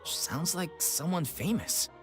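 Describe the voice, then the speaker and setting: A worried amateur theater director. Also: male voice, on the high side, animated, takes it slowly. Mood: earnest. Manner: earnest, polite, a little anxious. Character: adult male leader of an amateur theater troupe, earnest and worried. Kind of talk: video game dialogue. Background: game music.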